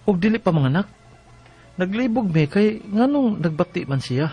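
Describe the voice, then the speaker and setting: An earnest young husband in a radio drama, full of concern. His voice is very high for a man, with a Cebuano accent and faint music behind. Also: lively intonation, normal speed, mellow, breathy edge. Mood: troubled, narrating. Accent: Cebuano Bisaya. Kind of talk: Bisaya radio drama acting.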